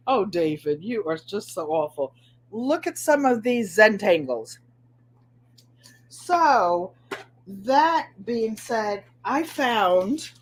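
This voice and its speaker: A woman's voice: fairly high pitch, a little hiss or hum. A middle-aged woman with a New England accent and a nasal voice, hosting a casual live craft stream and chatting to viewers as she shows her work. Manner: chatty, unhurried, talks to viewers by name. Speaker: craft livestream host.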